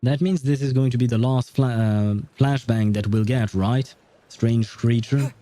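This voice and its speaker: Very dark, mellow-voiced male game streamer. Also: mid-range voice, fairly even intonation, a little slow, no echo. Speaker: game livestreamer. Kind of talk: casual livestream game commentary. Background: soft game music.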